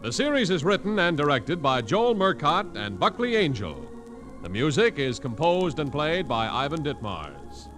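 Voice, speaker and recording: A man's voice: a little high, very animated, moderate pace. A man giving a scripted 1940s radio announcement in a polished, formal announcer delivery, over organ music. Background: loud organ music.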